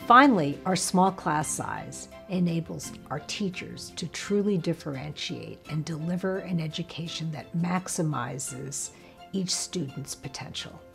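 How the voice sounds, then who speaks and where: A woman's voice: low voice, a little slow, vocal fry, fairly bright tone, faint music behind. Polished woman speaking as a school leader. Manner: proud, polished and articulate. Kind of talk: promotional interview.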